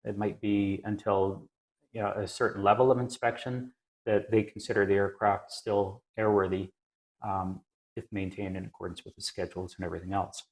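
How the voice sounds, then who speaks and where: A man talking through technical points in a conversational, measured and halting way, like an experienced expert thinking aloud. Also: fairly low pitch, flat delivery, low-quality recording. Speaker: aviation maintenance engineer and inspector, podcast regular. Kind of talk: conversational explanation.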